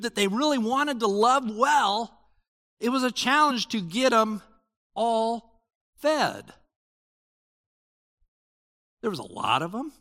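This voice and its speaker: A high-voiced man preaching in a church, his pitch swinging up and down as he explains scripture. His delivery is conversational, full of restarts, and the sound rings with long hall reverb. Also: a bit bright. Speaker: pastor. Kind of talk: sermon.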